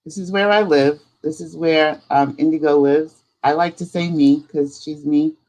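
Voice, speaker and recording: A woman hosting a live spirituality stream, chatting casually in an unhurried, rambling way. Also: low voice, steady tone, a little mellow, rough recording. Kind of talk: casual livestream chat.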